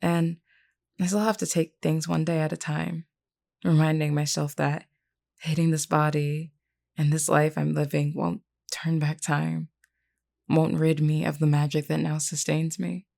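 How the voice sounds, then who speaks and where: A woman playing a composed, kindly vampire queen in a scripted roleplay audio, speaking in a measured, formal, earnest and reassuring way. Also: low-pitched, little pitch movement, vocal fry, dark tone. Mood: reflective, gentle. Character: composed, kindly vampire queen, solemn but caring leader.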